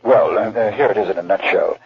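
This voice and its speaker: An actor playing a nervous, polite art auction dealer in a radio drama, hesitant and stammering. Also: male voice, fairly low pitch, brisk, breathy edge, dry recording. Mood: storytelling. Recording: dull, dry old radio drama audio.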